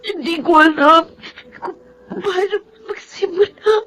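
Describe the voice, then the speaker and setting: A Filipino woman playing a grieving, remorseful pregnant widow in a radio drama, tearful and halting. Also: very high-pitched, fairly bright tone, slight background noise. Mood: remorseful, weeping. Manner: slow, tearful, halting delivery.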